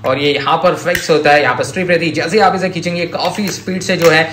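Indian guy hyping toys, kinda high voice, gushing and repeating himself, roomy echo w a bit of noise. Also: fast. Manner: excitable, repetitive, gushing. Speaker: toy review host. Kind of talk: enthusiastic toy demonstration commentary. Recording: echoey room with faint noise.

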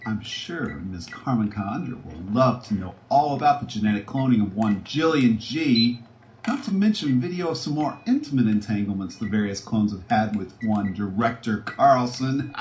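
Male actor as gloating, scheming scientist, theatrical and foul-mouthed, hugely animated pitch, slightly noisy roomy recording. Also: moderate pace. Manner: muttering to himself, theatrical, swinging between glee and panic. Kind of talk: audio drama acting, sci-fi monologue. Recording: roomy echo with background noise.

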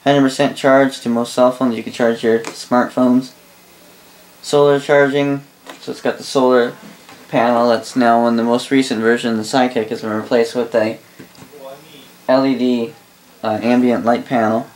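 A man reviews a product off the cuff at a middling pitch that barely moves. His delivery is rambling and halting, with sentences restarted and corrected as he goes. It sounds like a casual home video recorded indoors. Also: little pitch movement, rough recording. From Canada. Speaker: host of a radio gear review channel. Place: indoor room full of radio gear.

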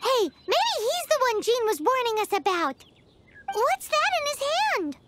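A woman's voice: very high voice, sing-song, a little slow, heavy background noise, full character voice. A woman voicing a tiny, bossy, childish fairy companion in a video game, cutesy and exclamatory. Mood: incredulous. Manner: exclamatory, cutesy, talks in third person. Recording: loud music behind the voice.

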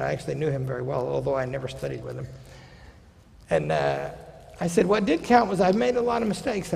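A man in his sixties, a college president, gives a seminar lecture from a podium, conversational with wry asides and slowing for quotations. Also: on the high side, creaky, a little mellow. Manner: conversational, wry asides, slows down for quoted passages. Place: large lecture hall stage at a podium. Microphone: podium microphone. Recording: hall reverb.

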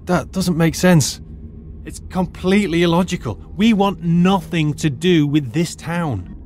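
A man's voice: on the high side, measured pace, a little mellow, slight background noise, natural voice. A man playing a middle-aged retired archaeologist turned teacher who lays things out in a precise, lecturing way. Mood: tense. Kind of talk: audio drama acting.

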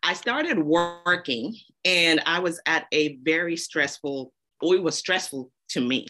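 A woman's voice: sits low, unhurried. A woman from Zambia, a podcast guest, telling her story casually and laughing often.

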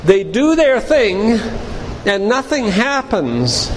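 Older male lay preacher, high-pitched, instructive storyteller. Reverberant church hall recording with noticeable noise. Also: huge pitch swings, slow. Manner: didactic storyteller, addresses listeners directly. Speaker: physician giving a guest talk at a church. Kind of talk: sermon-like lecture retelling Bible stories. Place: large room, church.

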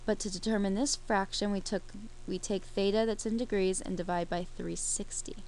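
A woman's voice: medium pitch, fairly even intonation, normal speed, darkish tone, a little hiss or hum. A woman teaching a math lesson over a screen recording, explaining each step while she works the problem.

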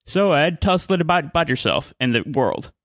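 A man's voice: fairly high pitch, sing-song, quick pace, fairly bright tone, no echo, clean studio sound. A man hosting a podcast interview in a conversational style.

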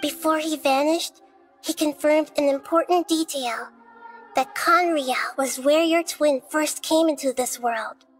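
A woman playing a wise, gentle, childlike goddess in a video game, speaking in a measured, solemn storytelling manner. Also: high voice, soft background music. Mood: grave explaining. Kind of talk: scripted video game dialogue.

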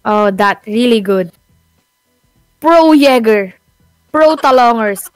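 A woman chatting casually and excitably while playing a mobile shooter. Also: fairly high pitch, slow, clear, resonant tone, no room sound, low-quality recording. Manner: excitable, laughing. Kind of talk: casual gameplay chat. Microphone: phone mic. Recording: dry close sound with game music behind.

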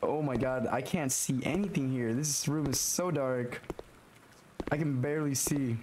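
A young man with a hoarse, raspy voice from being sick, talking through a horror game and reacting to scares. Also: medium pitch, unhurried, background noise. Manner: jumpy, swearing, talks to himself while playing. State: hoarse from illness. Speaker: gaming YouTuber. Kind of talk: horror game let's play commentary. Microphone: headset.

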